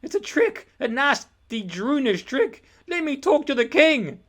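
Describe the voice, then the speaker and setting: A man voicing a brave, indignant young page boy in a storybook, urgent and excitable. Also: very high voice, measured pace, pitched above the performer's own voice, heavily acted. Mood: defiant shouting.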